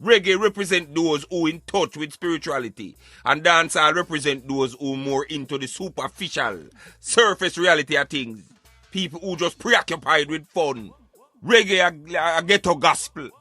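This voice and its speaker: A man's voice: fairly high pitch, normal speed, pushed and bright, dry recording, soft background music. A man with a Jamaican accent hosting a talk show, giving emphatic, preaching commentary in Patois. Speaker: radio show host.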